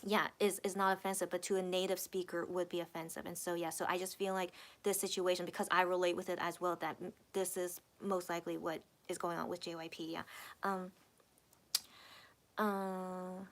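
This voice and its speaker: A woman's voice: fairly low pitch, level tone, moderate pace. A young adult woman hosting a casual live stream, reading out chat comments and answering them in a rambling way, with lots of fillers and repeated words. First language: English. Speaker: livestream host reading chat.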